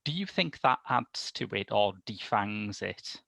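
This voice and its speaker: Lowish-voiced man, dry close sound. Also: animated, a little slow, creaky, fairly bright tone, dry recording. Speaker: podcast reviewer. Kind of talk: casual film discussion. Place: small domestic room. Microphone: headset on video call.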